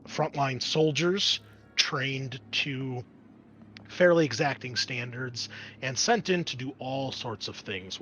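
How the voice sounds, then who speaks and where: Male game master, mid-pitched voice, measured explanatory narration for tabletop roleplaying, clear noise behind him. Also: fairly bright tone, low-quality recording.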